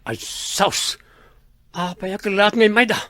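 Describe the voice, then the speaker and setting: An actor with an Ilocano accent playing a cheeky, grumbling husband in a comedy drama. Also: male voice, high-pitched, fairly even intonation, unhurried, bright, forceful tone. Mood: alarmed. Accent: Ilocano, northern Philippines.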